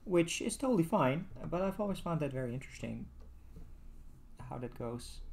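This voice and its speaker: A Dutch man speaking English with a light, mostly American-sounding accent, chatting casually as co-host in halting bits with many fillers. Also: on the high side, lively intonation, vocal fry, darkish tone. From Netherlands. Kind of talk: casual chat. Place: home, moving about the room.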